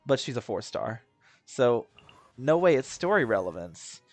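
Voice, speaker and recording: A man's voice: mid-range voice, sing-song, a little slow, no room sound, faint music behind. Rambling young guy commenting on a game. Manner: rambling, chatty, lots of fillers and restarts. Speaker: gaming video host. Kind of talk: casual gameplay commentary.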